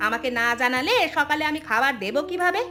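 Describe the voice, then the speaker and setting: A woman's voice: high voice, lively intonation, fast talker. A woman voicing a rude, impatient daughter-in-law in a cartoon folk tale. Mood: irritated, scornful.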